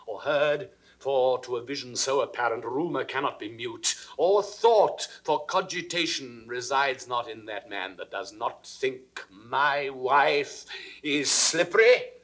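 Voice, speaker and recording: A man's voice: mid-range voice, expressive, takes it slowly, fairly bright tone. An actor playing a jealous, suspicious king with a British accent, in theatrical classical Shakespearean style for an audio drama. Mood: cold accusation. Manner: theatrical, crisply declaimed, dropping into whispers. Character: jealous, suspicious king brooding over his wife's imagined betrayal. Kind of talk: classical Shakespearean stage acting, 1960s audio recording.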